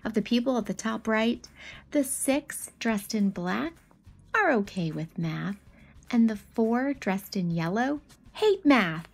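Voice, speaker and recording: A woman in her thirties giving an expressive picture-book read-aloud, animatedly addressing children with questions and asides. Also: fairly high pitch, slow, gravelly, slightly rough, soft background music.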